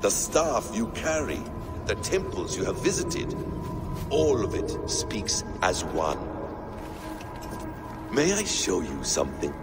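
Casting: a solemn, mysterious ancient being for a game; low, lively delivery, very slow, loud score behind. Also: male voice, loud music behind the voice, heavily acted. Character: slow, cryptic, calm ancient male being.

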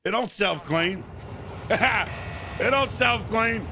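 A man's voice: sits high, slow pace, gravelly, pushed and bright, slight background noise. A man in his thirties live-streaming a game, bantering crudely with chat and swearing often. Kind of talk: casual live-stream chat while gaming. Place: indoor room at a gaming chair.

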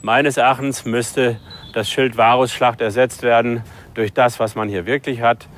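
A man's voice: medium pitch, fairly even intonation, unhurried. A man speaking as a professor, arguing formally in a documentary interview.

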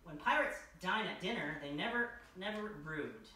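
Bright-voiced young story time host, echoey room. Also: medium pitch, animated, moderate pace. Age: young adult. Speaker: host of a children's story time, reading a picture book. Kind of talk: read-aloud children's storytelling in costume as a king. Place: large furnished room indoors. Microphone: distant camera microphone. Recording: echoey room, distant mic, faint noise.

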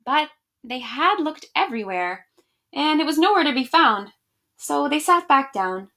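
Bright, high-voiced young woman reading to children. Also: big pitch swings, unhurried. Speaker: storyteller reading a children's picture book. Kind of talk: animated read-aloud and casual address to children. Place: small room at home.

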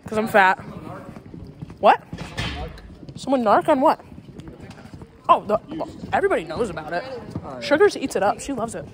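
A woman's voice: fairly high pitch, sing-song, normal speed, pauses often. A teenage girl vlogging backstage at a school play, chatty and teasing, filming on a phone at arm's length. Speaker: vlogger, high school theater cast member. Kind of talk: casual backstage vlog chat. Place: school backstage dressing room, indoors. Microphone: phone camera held at arm's length. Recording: busy room full of chatter.

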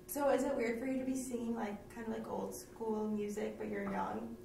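A woman's voice: level tone, moderate pace, vocal fry, dark tone. A young woman with an American accent, asking casual questions as an interviewer. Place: small meeting room. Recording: distant, roomy, faint rumbling noise.

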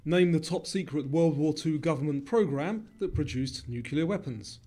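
A man narrating a scripted quiz, reading questions and answers in a measured, formal way. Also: fairly high pitch, dark tone. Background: music.

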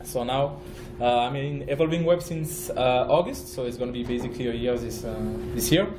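A man from France with a French accent, giving a conference talk as a project manager, halting, with many fillers and restarts. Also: medium pitch, echoey room, over music. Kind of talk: conference presentation. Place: conference room.

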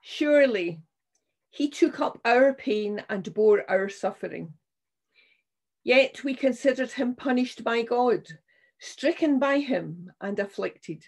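An older woman minister reading scripture and preaching in a solemn, measured, deliberate way. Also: on the high side, animated. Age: 60s or older. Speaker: minister leading a church service. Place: small room at home, indoors.

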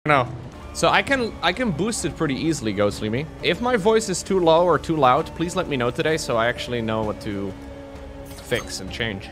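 A man's voice: a little high, sing-song, fairly quick, fairly bright tone, soft background music. A man streaming from home, bantering jokingly with chat while testing voice effects on a desk mic. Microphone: desk mic through an audio interface.

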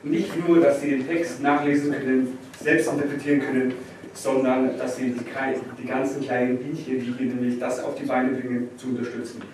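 A man's voice: medium pitch, flat delivery, brisk, a little mellow. A young man performing spoken-word poetry live on a small stage, picked up by a distant camera. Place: indoor small venue stage before an audience. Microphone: distant camera microphone. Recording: distant, very echoey, noisy room recording.

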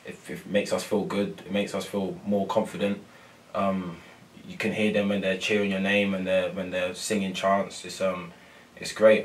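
A young male footballer talks in a low, even monotone, hesitating with ums and repeating himself. He sounds a little set back from the microphone in a press room. The delivery is soft and unhurried. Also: distant mic, poor audio. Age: young adult. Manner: soft-spoken, many ums and repeated phrases. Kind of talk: post-match interview. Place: press room before a sponsor board.

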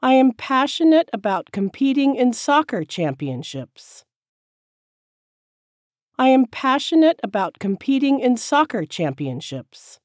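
A woman teacher reading short sentences slowly and clearly, each one said several times for learners to repeat. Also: sits high, no room sound, studio quality. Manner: careful, clear enunciation. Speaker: English teacher. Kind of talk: slow repeated drill sentences.

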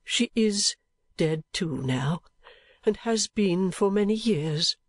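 A man playing a high-voiced, earnest old gentleman. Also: expressive, dry recording, exaggerated voice. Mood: wistful, tender. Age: older. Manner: emphatic, repetitive, halting, drawn-out delivery. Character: kindly, excitable grey-headed old gentleman, earnest and sentimental.

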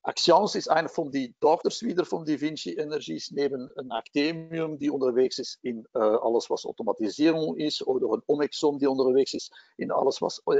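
A man's voice: medium pitch, vocal fry, darkish tone, no echo. A Belgian-accented man gives a corporate webcast presentation over a computer mic. He speaks German as a second language, hesitating often with frequent filler sounds. The audio is dull and lo-fi, and the sound is dry. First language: not German. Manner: halting, many filler sounds, non-native grammar. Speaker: company division head presenting a webcast.

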